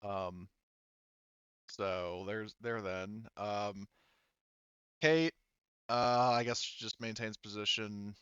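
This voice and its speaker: Low, clear-voiced man running a game. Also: fairly bright tone, no room sound, lo-fi sound. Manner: halting, thinking aloud. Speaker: game master. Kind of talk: running a tabletop roleplaying game, casual.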